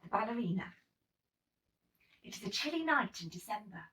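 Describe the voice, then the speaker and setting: A woman reading a children's picture book aloud in an expressive, dramatic storytelling manner. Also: mid-range voice, normal speed, slight background noise. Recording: slightly distant microphone.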